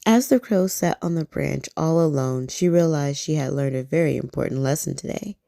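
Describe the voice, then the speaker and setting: A woman reading a bedtime story aloud in a soft, gentle, soothing way, close to the mic. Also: deep voice, moderate pace, creaky, no room sound.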